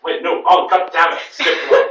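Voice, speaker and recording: High, bright male reader in echoey room. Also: lively intonation, moderate pace. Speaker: amateur reader at writers' society. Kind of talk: informal dramatic reading with casual chat. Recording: very echoey room, distant mic, faint noise.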